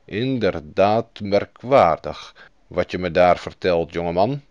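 A man voicing a kindly, thoughtful older king who speaks in a measured, dignified way. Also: low-pitched, lively intonation, a little mellow, no room sound, muffled recording, pitched below the performer's own voice.

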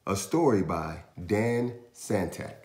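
A man in his thirties reading a picture book aloud to young children, with deliberate, dramatic storyteller pauses. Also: lowish voice, animated, dark tone, a little hiss or hum. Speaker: children's storytime host and reader. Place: small indoor room in a house. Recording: roomy echo, faint music.

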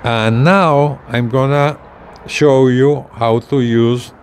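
Slow-paced older man demonstrating gadgets outdoors. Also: medium pitch, fairly even intonation. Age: 60s. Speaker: gadget reviewer. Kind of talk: casual product demonstration, explaining step by step. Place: outdoors on a residential balcony. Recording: clear outdoor ambient noise.

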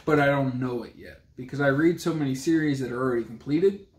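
A man's voice: medium pitch, quick pace. A man in his thirties talking casually and plainly about books as a vlog co-host, recorded at home on a camera mic. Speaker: book reviewer and co-host of a book vlog. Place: small indoor room at home with a bookcase. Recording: roomy, echoey home camera sound.

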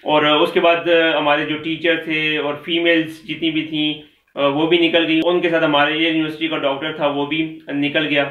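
A man in his thirties with a Pakistani accent, telling a story casually to the camera in a small room at home, gesturing as he talks. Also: fairly high pitch. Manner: chatty, animated with hand gestures. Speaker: vlogger. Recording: echoey room, dull lo-fi sound.

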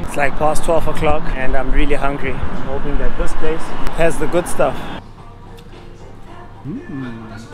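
A man's voice: medium pitch, fairly even intonation, measured pace, loud music behind the voice, poor audio. A man in his thirties chatting casually to his camera as he finds his way through hot city streets, friendly and thinking aloud. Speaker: travel vlogger. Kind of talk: casual travel vlog. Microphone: action camera held at arm's length. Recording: loud street noise on camera mic. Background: traffic and street noise.

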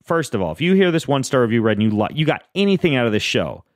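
A man hosting a podcast in casual chat, rambling through lists with a fairly even, monotone delivery. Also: mid-range voice, very fast, no room sound, studio quality. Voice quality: self-described monotone. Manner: rambling, lists things off, frequent fillers and restarts. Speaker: podcast host.

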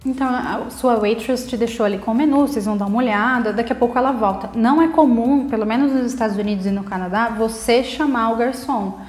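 A woman's voice: a little high, fast talker, few pauses, a little mellow, soft background music. A young woman with a Brazilian accent giving an informal language lesson to camera, conversational and stressing her example phrases. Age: young adult. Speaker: English teacher, video host. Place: living room at home, indoors. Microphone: lapel mic clipped to top. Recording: roomy indoor echo.

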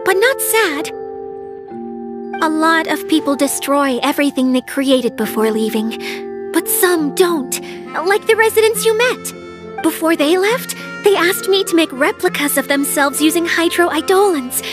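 A female water spirit from a fantasy game with a very high voice. She is gentle and reflective, fond of those she watches over. Clear music plays behind her. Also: animated, measured pace. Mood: wistful, tender. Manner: soft-hearted, reflective, fond. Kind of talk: acted video game dialogue.

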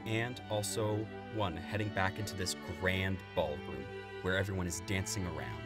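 A man's voice: low voice, steady tone, normal speed. A man narrating a tabletop game as game master. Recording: music playing behind.

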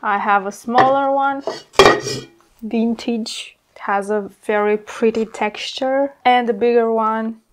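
A woman's voice: fairly high pitch, fairly even intonation, slow, very noisy. A young woman hosting a plant vlog, talking casually and candidly as she works with her hands, informal and mildly sweary. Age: young adult. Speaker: plant channel host. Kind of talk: casual hands-on tutorial chat. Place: indoor plant studio at a worktable.

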